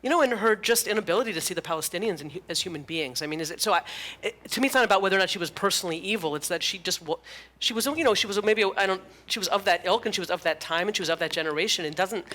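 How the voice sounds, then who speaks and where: A woman's voice: on the low side, rapid-fire, fairly bright tone. A woman rabbi asking a guest a long question, searching for words with many "you know"s.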